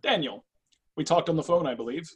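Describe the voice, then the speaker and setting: A man's voice: on the high side, brisk, breathy edge. A man in a script reading, playing a composed, businesslike personal assessor who probes people. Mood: calm, probing. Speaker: actor in a screenwriters' readers theatre.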